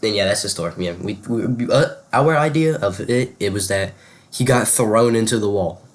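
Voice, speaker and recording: A man's voice: fairly low pitch, moderate pace. A young man chatting and telling stories casually, in loose slangy speech full of "bro" and "like". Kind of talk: casual spoken storytelling and chat.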